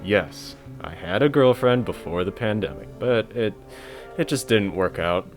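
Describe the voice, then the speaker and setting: A man's voice: on the low side, natural voice. A young man playing a mild, earnest, slightly hesitant friend in a scripted romantic comedy audio drama. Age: young adult.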